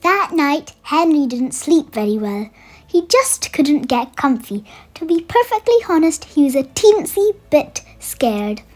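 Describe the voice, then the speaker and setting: A storyteller reading a children's bedtime picture book aloud in an expressive way, made for young listeners. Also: high-pitched, measured pace. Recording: music under the voice. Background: music.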